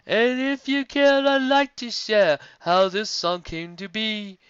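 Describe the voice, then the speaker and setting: A man's voice: high voice, steady tone, fairly bright tone, clear, resonant tone, dry recording, voice pushed up. A man singing as a rhyming female zebra bard. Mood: solemn. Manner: sings in slow rhyme. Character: solemn storytelling female zebra singer. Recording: dull lo-fi audio.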